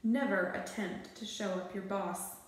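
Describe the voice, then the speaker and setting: A woman's voice: medium pitch, steady tone, unhurried, recorded from a distance, a little hiss or hum, lightly acted. A woman reading a children's storybook as a pompous, bossy, self-assured boy detective who makes lofty declarations. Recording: very echoey room.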